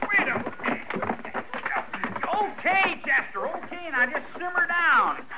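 Heavy vintage noise, brash young tough, very high voice, radio drama. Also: male voice, sing-song, a little slow, full character voice. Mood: defiant. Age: young adult. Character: cocky young frontier tough, brash and defensive. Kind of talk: 1950s radio western acting. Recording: very noisy vintage radio recording.

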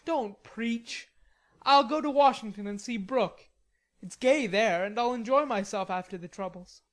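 Woman voicing an indignant, hot-headed teenage boy, pitched up. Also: measured pace, close to an everyday voice. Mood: stubborn. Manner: emphatic, protesting. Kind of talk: audiobook character reading.

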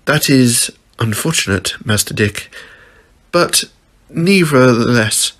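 A man's voice: medium pitch, expressive, takes it slowly, fairly bright tone. A man voicing a formal, glum elderly male butler who mutters to himself.

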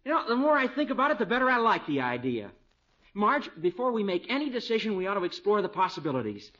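High-voiced earnest young husband. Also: male voice, very animated, fast, fairly bright tone. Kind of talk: 1950s radio situation comedy acting. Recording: muffled old 1950s radio recording.

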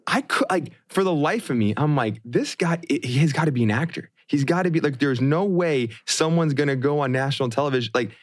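A man's voice: a little high, animated, a little fast, hardly any pauses, dry recording, studio quality. A man of thirty co-hosting a podcast, chatting reflectively and casually with many fillers. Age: 30s. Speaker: podcast co-host.

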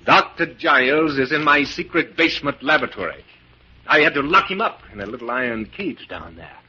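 A villainous mad scientist in a 1940s radio play. His voice is husky and sharp, with animated, theatrical swings of pitch, gloating and mock-polite. The old recording is muffled. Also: a little fast, heavily acted. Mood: smug, sinister.

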